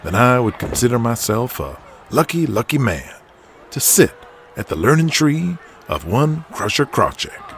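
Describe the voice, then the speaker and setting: A man's voice: medium pitch, sing-song, unhurried, fairly bright tone, a little hiss or hum, pitched below the performer's own voice. A man voicing a cocky, taunting pretty-boy wrestler in an audio drama, with florid, wordy, mocking delivery. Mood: flattering.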